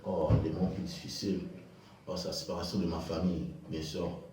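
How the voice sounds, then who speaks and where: A man from Haiti answering interview questions in a halting way, repeating words as he talks. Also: low voice, little pitch movement, distant mic, background noise. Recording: echoey room, off-mic.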